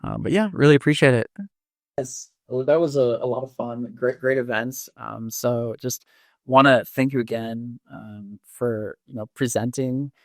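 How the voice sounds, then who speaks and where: Male podcast host, mid-pitched with a gravelly fry, halting casual delivery, dry close sound. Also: dry recording. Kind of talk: casual interview.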